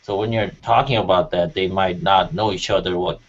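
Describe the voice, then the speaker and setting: Low-pitched man, slightly muffled sound. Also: steady tone, brisk. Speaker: music talk show panelist. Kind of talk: casual opinionated chat. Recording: muffled audio with faint noise.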